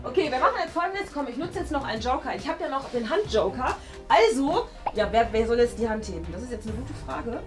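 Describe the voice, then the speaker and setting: A young woman chatting casually and playfully in a studio room, thinking aloud and excitable as she plays a guessing game. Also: sits high, fast talker. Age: young adult. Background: music.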